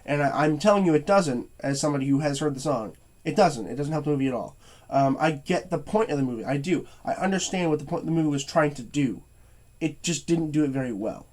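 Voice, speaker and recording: A man's voice: a little high, animated, darkish tone. A young man reviewing movies in a casual rant, tired and stuffy-nosed, stuttering and restarting his sentences. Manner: stuttering, halting, many fillers and restarts.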